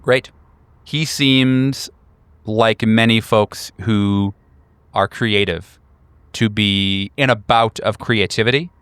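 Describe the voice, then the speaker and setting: A man narrates a tabletop horror game as its game master. His voice is somewhat low, and the sound is dry, with very little room. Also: takes it slowly, fairly bright tone, clean tone, dry recording.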